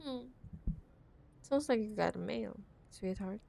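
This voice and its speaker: Slow, teasing, possessive CEO ex-girlfriend, acted by a woman. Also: medium pitch, vocal fry, mellow. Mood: teasing menace. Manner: teasing, mock-sweet, with drops to a whisper. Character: possessive, menacing, sweetly condescending corporate CEO ex-girlfriend. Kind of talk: roleplay ASMR audio drama.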